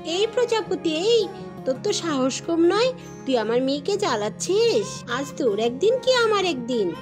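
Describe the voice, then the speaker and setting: Lady doing cartoon mama bird, high lively voice, scolds but caring, music under it. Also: normal speed. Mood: scolding. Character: Tuni, protective mother bird, scolding yet caring. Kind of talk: children's cartoon voice acting. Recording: music behind the voice.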